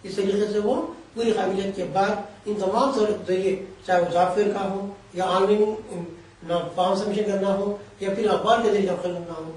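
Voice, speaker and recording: A man with a high, mellow voice patiently explains careers in long, winding sentences. He sounds set back from the mic in a very echoey room. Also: steady tone, normal speed, far from the mic. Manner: long explanatory sentences, Urdu-flavoured Hindi with English terms. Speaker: career guidance expert. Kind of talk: explanatory interview answers.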